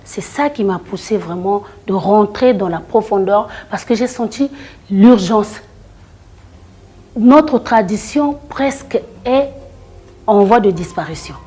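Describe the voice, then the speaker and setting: A middle-aged woman from the Congo, a singer and storyteller, answering interview questions in a hesitant way, with many restarts and fillers, often trailing off quietly. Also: mid-range voice, lively intonation, slow pace, slightly rough. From Republic of the Congo.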